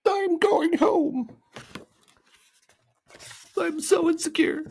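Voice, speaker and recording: A man's voice: very high-pitched, drawn-out words, creaky, a little mellow, pitched above the performer's own voice, full character voice. A man voicing a small, furry, insecure demon from a storybook, whining and sobbing with self-pity. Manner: sobbing, whiny delivery.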